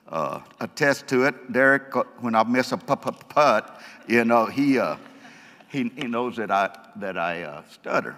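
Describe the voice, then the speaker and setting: A man's voice: medium pitch, a bit bright. A man with a stutter giving a folksy, joking testimony to a church congregation. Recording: long hall reverb.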